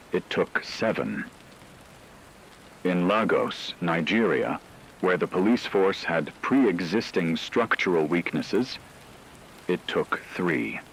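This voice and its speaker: A man playing a grave radio news analyst, delivering scripted reports in a formal, measured, analytical manner. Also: low voice, a little hiss or hum, exaggerated voice, rough recording.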